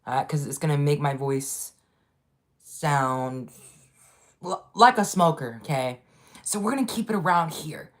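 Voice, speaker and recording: Low-voiced woman chatting on a livestream. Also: measured pace, pauses often. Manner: chatty and upbeat, repeats herself, greets viewers. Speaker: livestream host. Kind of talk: casual livestream chat.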